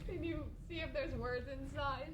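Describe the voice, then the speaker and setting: A woman's voice: high voice, moderate pace. A woman playing a tabletop game, chatting casually and playfully. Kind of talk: casual chat during a game. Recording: room with other players' voices.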